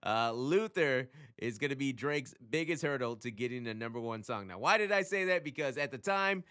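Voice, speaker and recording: A man with a bright, forceful voice and clean, smooth tone hosts a casual explainer. His delivery is conversational and emphatic, at a medium pitch. He punctuates his points with rhetorical questions and short check-ins. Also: animated, normal speed. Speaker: music radio commentator hosting his own channel. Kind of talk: casual explainer talk to camera.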